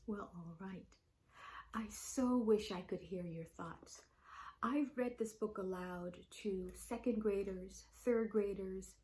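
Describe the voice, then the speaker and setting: Slow, deliberate woman reading to children. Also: mid-range voice, darkish tone, low-quality recording. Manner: deliberate, addressing young children. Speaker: children's story-time reader. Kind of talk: expressive picture-book read-aloud.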